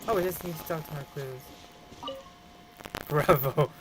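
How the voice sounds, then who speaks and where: A woman's voice: low-pitched, measured pace, noisy background. A woman streaming a game with casual commentary, playfully echoing bits of the dialogue. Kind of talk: casual live gameplay commentary. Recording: game music behind.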